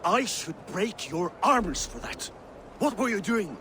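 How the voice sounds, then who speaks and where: Man acting imperious Viking schemer, swooping pitch. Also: sing-song, moderate pace, noisy background, close to an everyday voice. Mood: angry. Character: proud, hot-tempered male Viking war schemer. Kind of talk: video game dramatic acting.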